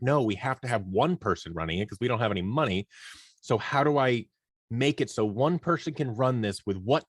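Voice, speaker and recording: A man's voice: medium pitch, no room sound, clean studio sound. A man giving casual, conversational answers as an interview guest, telling stories and acting out little quoted exchanges. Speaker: interview guest, audio drama producer leading a creative team.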